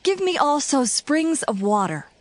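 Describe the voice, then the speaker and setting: A young bride making entreaty in an audio scripture drama. Her voice is high and lively, swinging expressively as she pleads. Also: measured pace. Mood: pleading.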